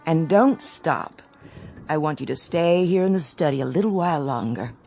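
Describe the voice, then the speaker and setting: An actress playing a middle-aged wife in a radio drama, with music beneath. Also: female voice, low-pitched, lively intonation, moderate pace, vocal fry, darkish tone. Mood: complacent. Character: middle-aged lady of the house, anxious then complacent. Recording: with music underneath.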